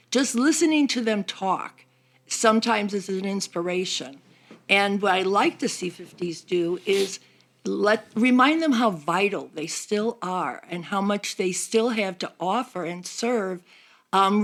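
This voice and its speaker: A woman with an American accent, talking earnestly and reflectively in a casual podcast conversation. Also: medium pitch. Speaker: church seniors' group volunteer.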